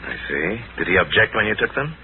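An actor playing a resolute, commanding gentleman crime fighter, formal and dramatic, in 1940s radio style. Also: low-pitched, very animated, fast. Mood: calm. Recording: tinny, hissy 1940s radio recording.

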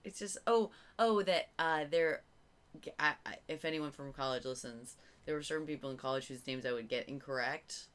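A woman's voice: sits low, fairly bright tone. A young woman from Virginia with a Southern accent, chatting and joking playfully and laughing often. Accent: Southern American. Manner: giggly, playful, quick to laugh. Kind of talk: casual comedic chat.

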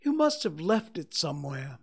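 A man voicing a cheerful, earnest little male teddy bear in a storybook reading, in a bright, eager, slightly sing-song manner. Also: a little high, sing-song, normal speed, husky, pitched above the performer's own voice.

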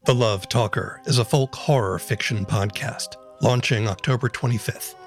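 A man's voice: medium pitch, moderate pace, faint music behind. A man hosting a podcast, delivering an announcement.

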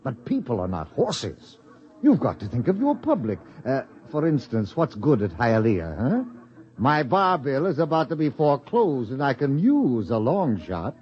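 An actor playing a fussy, theatrical phony doctor who drinks, talking with many uhs in a 1950s radio drama. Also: male voice, medium pitch, huge pitch swings, moderate pace. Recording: dull 1950s radio broadcast.

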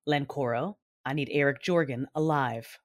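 An actress playing a cold, menacing female Sith commander, narrating in the first person with commanding, deliberate drama over music. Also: extremely low pitch. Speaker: narrator and lead character. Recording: loud music bed underneath.

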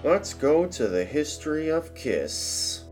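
A man's voice: fairly high pitch, sing-song, takes it slowly, a little mellow, soft background music. A man hosting a podcast, asking polite questions and reading scripted narration. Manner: polite, addresses guest as sir. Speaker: podcast host and interviewer.

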